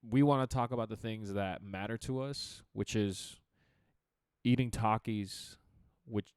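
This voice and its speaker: A young man co-hosting a casual podcast chat, with a deep radio-type voice, animated and quick to laugh. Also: clear, resonant tone, no room sound, studio quality. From Chicago area. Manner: animated, laughs often, talks in bursts with restarts. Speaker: podcast co-host.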